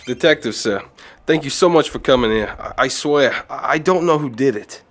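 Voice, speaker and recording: A man's voice: mid-range voice, animated, moderate pace, fairly bright tone, faint music behind, lightly acted. A man playing a nervous, defensive hotel bartender who stammers and pleads in an amateur radio drama. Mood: nervous, pleading.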